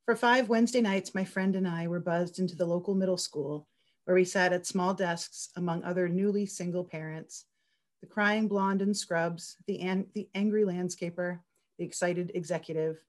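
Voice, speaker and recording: A woman's voice: fairly low pitch, fairly even intonation, normal speed. A woman speaking on a video call as a festival organizer and writer, chatting and reading her essay aloud.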